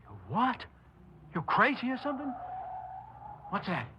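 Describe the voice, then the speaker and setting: An actor playing an anxious, pleading friend of a killer, urgent and agitated, in a 1950s radio crime drama. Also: male voice, extremely high pitch, wildly swooping pitch, unhurried, exaggerated voice. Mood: anxious alarm. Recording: dull, noisy 1950s radio recording.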